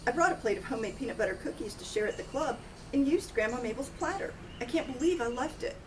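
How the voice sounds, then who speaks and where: A woman's voice: on the high side, expressive, quick pace, pitched above the performer's own voice, close to an everyday voice. A woman voicing a fretful, forgetful older mother in an audiobook-style story reading. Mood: flustered. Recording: distant mic, loud outdoor noise.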